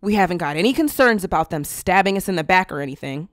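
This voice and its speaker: A woman's voice: on the low side, animated, fast talker, no room sound, clean studio sound, natural voice. A woman playing a tough, bossy big sister who scolds bluntly in an anime dub. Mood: blunt reassurance. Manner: blunt, commanding. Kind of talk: anime fan dub acting.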